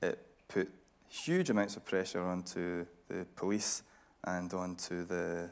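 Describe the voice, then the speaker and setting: A male interviewer with a low, creaky voice hosts a journalistic stage conversation. He is deliberate, breaking phrases to stress each point. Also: little pitch movement. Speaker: interviewer and host. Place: radio theatre stage.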